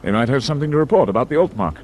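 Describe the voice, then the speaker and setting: A man's voice: medium pitch, sing-song, racing, a little mellow, a little hiss or hum, lightly acted. A man playing a composed senior British naval officer in a radio war drama, clipped, courteous and understated. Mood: brisk, businesslike.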